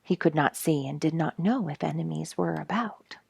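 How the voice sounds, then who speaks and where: A woman reading a children's story aloud with expressive, emphatic storytelling. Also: deep voice, normal speed, vocal fry, slightly rough, no echo. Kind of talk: read-aloud storybook narration.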